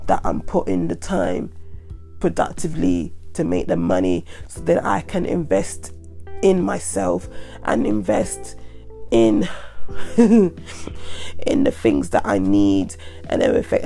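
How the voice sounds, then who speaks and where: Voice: medium pitch, gravelly, warm tone. A young adult podcaster with a stuffy head cold, rambling casually and repeating themselves. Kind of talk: casual solo monologue.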